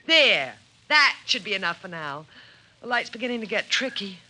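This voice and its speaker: An actress playing a practical, nagging suburban wife in 1950s radio drama style, brisk and scolding. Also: female voice, mid-range voice, sing-song, very noisy, close to an everyday voice.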